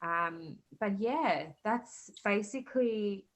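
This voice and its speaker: A woman's voice: mid-range voice, slow. A pregnant woman in her thirties with a light South African accent, chatting as a podcast guest with plenty of fillers. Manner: chatty, many fillers and laughs. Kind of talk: casual interview chat.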